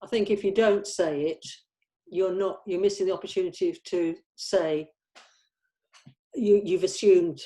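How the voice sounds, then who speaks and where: A woman's voice: on the low side, measured pace, mellow. A woman in a casual script discussion at a table read, offering comments as part of the team.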